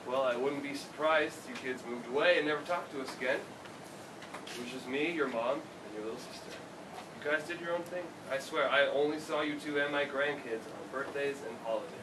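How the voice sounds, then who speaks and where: A man's voice: mid-range voice, brisk, natural voice. A man playing a widowed, reproachful but devoted old father in a staged play reading. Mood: sad, resigned. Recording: distant mic, echoey noisy room.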